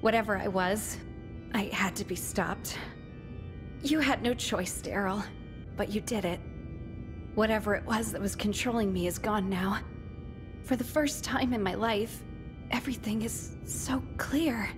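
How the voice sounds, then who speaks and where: An actress playing a remorseful teenage schoolgirl in a game cutscene, speaking earnestly and haltingly, with tenderness. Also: medium pitch, natural voice. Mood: relieved, apologetic. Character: remorseful teenage schoolgirl, softened former bully, sincere. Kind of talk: dramatic video game cutscene acting. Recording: loud music under the voice.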